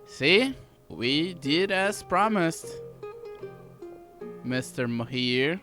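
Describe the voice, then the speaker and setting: A player giving casual gameplay commentary, reading and reacting aloud in halting short bursts. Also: medium pitch, expressive. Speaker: gaming video creator playing a story quest. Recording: loud game music behind voice. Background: loud game music.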